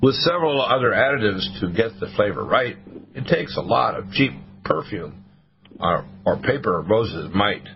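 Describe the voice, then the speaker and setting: A hoarse man hosting a talk radio show, reading a poem aloud and stopping often to restart his sentences. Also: lowish voice, animated, normal speed. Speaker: host. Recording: dull lo-fi radio broadcast.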